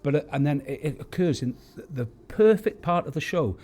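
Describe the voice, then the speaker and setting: A man's voice: fairly high pitch, expressive, a little fast, dark tone, breathy edge. A middle-aged or older man, a stage actor, giving chatty interview answers backstage. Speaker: pantomime actor, award winner. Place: backstage at an awards venue.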